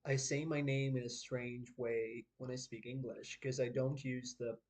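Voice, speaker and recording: A man's voice: medium pitch, little pitch movement, a little slow, a little mellow. A man from Poland, a vlogger musing casually and reflectively to his viewers, thinking aloud as he goes. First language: Polish.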